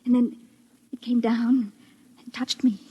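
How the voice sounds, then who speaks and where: A woman playing a fragile, lonely, weary young woman in an old-time radio drama, halting and haunted. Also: fairly high pitch, little pitch movement, breathy edge, lo-fi sound. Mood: hushed wonder. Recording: vintage radio drama recording.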